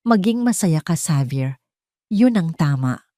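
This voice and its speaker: A woman's voice: low voice, lively intonation, a little slow, mellow, no room sound, clean studio sound. A woman with a Filipino accent voicing an earnest, remorseful young fiancée in an audio drama story. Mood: pained remorse.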